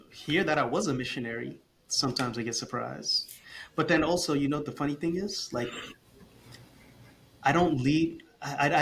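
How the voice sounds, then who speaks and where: A man's voice: on the high side, a bit bright, slight background noise. A man guest on a casual podcast, talking earnestly and expressively, often repeating a phrase while he gathers his thoughts. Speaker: guest, communication coach for parents.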